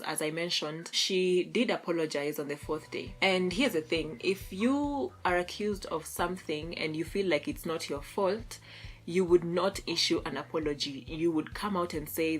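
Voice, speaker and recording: A young woman from Kenya chatting casually as a vlogger, reading out posts, laughing often and stopping to search for words. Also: deep voice. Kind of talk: casual commentary reading tweets.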